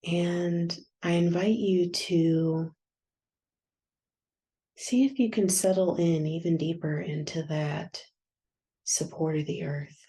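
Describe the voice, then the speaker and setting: A woman guiding a yoga nidra meditation in a soft, soothing, unhurried manner with long pauses. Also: fairly low pitch, fairly even intonation. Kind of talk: guided meditation.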